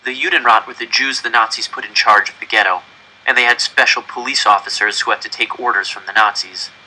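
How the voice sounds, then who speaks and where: A man's voice: on the low side, fairly even intonation, fairly quick, bright, forceful tone, poor audio. A man reading a first-person story aloud with dramatic, tense delivery. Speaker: audiobook reader.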